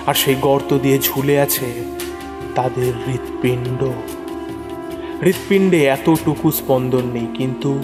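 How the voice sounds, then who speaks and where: A man narrating a first-person horror story in a suspenseful, theatrical way, repeating phrases for dramatic effect. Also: mid-range voice. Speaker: narrator of horror audio stories. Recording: long reverb over prominent music. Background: music.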